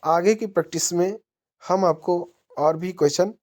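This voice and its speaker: A woman's voice: fairly low pitch, moderate pace, a little mellow. A woman narrating a question-and-answer quiz lesson as an exam-prep teacher, methodically posing each question and then answering it.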